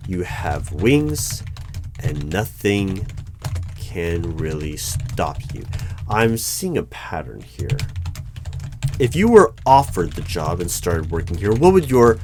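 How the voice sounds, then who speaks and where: A man performing a one-sided ASMR roleplay as a politely bureaucratic hiring manager, with a measured, halting, dry and formal delivery. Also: deep voice, very animated, darkish tone. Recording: noticeable background noise with keyboard typing. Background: computer keyboard typing.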